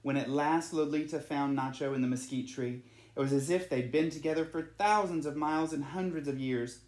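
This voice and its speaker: A man's voice: on the high side, fairly quick, a little mellow. A man reading a children's picture book aloud as a storyteller. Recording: echoey room.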